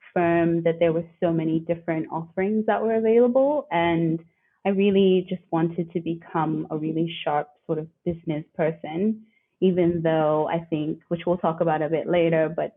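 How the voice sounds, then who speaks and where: A woman's voice: low-pitched, breathy edge. A woman from the northeastern United States, a guest on an interview podcast, speaking in a chatty, reflective way. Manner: chatty, reflective, light laughs. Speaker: guest, career coach. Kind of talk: conversational interview. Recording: tinny phone-like remote line.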